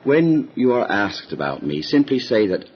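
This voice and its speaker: An actor playing a devout, worried biblical patriarch and husband in a dramatized Bible audio drama. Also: male voice, medium pitch, big pitch swings, moderate pace, slightly rough, dull audio. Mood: anxious, earnest.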